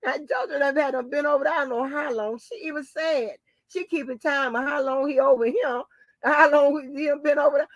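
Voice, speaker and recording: A woman's voice: sits high, brisk, dry recording, muffled recording. A woman, hosting a casual gossip commentary, swearing freely, laughing often and calling listeners baby and honey. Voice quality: hoarse. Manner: cackling, profane, calls listeners baby and honey. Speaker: channel host.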